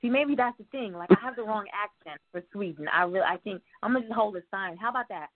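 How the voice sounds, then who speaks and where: A woman hosting a relaxed interview, talking in a chatty, playful way and laughing easily. Her voice sits in a middle pitch and comes through a narrow, phone-like line. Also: fast talker. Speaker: interview host. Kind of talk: casual interview over a call.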